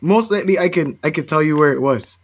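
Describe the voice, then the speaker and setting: A man from Barbados, a DJ guest in a casual interview, relaxed and quick to laugh. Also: a little high, no echo, highs cut off. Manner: relaxed, laughs easily, loose fillers.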